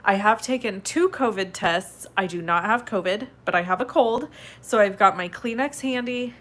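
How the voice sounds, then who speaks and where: A woman with a stuffed-up head cold hosting a live card-making tutorial in a chatty, upbeat way, sniffling and clearing her throat now and then. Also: mid-range voice, moderate pace, fairly bright tone. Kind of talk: casual live tutorial chat.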